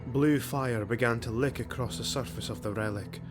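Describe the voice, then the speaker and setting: A man's voice: mid-range voice, normal speed. A man narrating a fantasy audiobook in a grave, theatrical, dramatic scripted style. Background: music.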